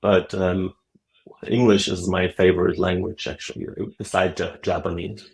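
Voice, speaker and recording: A middle-aged man from Moldova, a native Russian speaker, talking softly and haltingly with many fillers as a guest on a video call. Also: low-pitched, flat delivery, creaky. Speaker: guest, Zen teacher. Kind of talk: relaxed interview talk. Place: dim wood-walled room.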